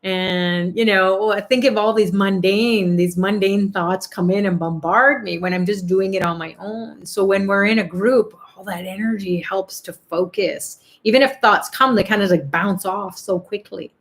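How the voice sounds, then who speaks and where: A woman's voice: mid-range voice, moderate pace, few pauses. A middle-aged woman hosting an online chanting and prayer call, chatting casually, reading out comments and laughing often. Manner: upbeat, warm-hearted, laughs often, many fillers. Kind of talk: casual spiritual group chat, reading comments.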